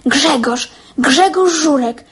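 A woman's voice: high voice, takes it slowly. A woman playing an eager, chatty young schoolboy who talks earnestly in a radio drama.

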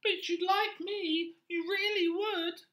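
A woman voicing a pushy, chattering little rat in a pet shop, with a high squeaky voice that insists it is the best pet. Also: fairly even intonation, slow, fairly bright tone, echoey room, full character voice. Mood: cheeky, pushy.

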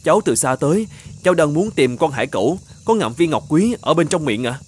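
Earnest young knight, higher-pitched, brisk. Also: male voice, sing-song, darkish tone, a little hiss or hum. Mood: earnest, polite. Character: brave, polite, earnest young knight in love. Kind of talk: children's cartoon fairy-tale dubbing.

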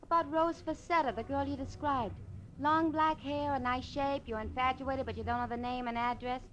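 High-pitched flirty carhop played by a woman. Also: steady tone, normal speed, lightly acted. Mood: sassy, brushing off. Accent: American. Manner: flirtatious, sassy. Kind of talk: 1940s radio drama acting. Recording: muffled old radio recording, faint noise.

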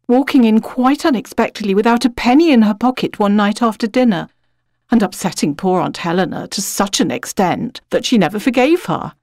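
A woman with a British accent giving an expressive, reflective dramatic reading of literary fiction as a narrator. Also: medium pitch, dry recording, studio quality.

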